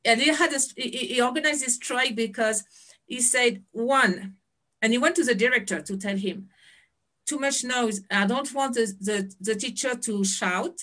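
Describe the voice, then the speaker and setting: A middle-aged woman with a French accent, a guest on a video call interview, talking through a headset, telling stories with lots of laughs and hand-waving. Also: on the high side. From France. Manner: animated storyteller, laughs often, waves hands. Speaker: guest, education founder.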